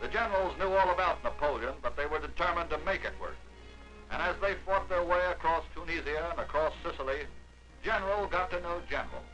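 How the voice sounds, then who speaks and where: A man narrating a 1940s war documentary with a fairly high voice and a measured, declamatory newsreel delivery. A full film score plays clearly beneath him. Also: fairly bright tone, muffled recording, soft background music.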